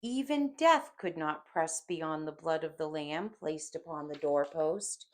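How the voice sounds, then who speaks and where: A woman's voice: low-pitched, lively intonation, unhurried. A woman voicing a solemn, commanding man defiant on the cross, in a dramatic audiobook reading. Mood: stern rebuke.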